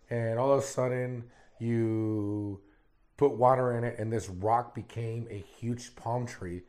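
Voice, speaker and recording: Male podcast host, warm dark tone, rambling solo talk, voice still recovering. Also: medium pitch. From Arizona, United States. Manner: rambling, repeats words often. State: recovering from lost voice.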